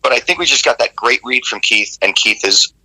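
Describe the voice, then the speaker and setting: Gravelly male rock vocalist guest, bright cutting tone, candid and animated storyteller. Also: medium pitch, brisk, poor audio. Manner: candid, animated, casually profane. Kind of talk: casual interview storytelling.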